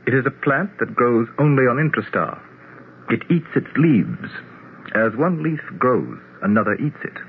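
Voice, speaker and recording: An actor playing a courtly, self-assured otherworldly prince in a 1970s radio drama, formal and theatrical. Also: male voice, mid-range voice, sing-song, unhurried, vocal fry. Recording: muffled, hissy old radio broadcast.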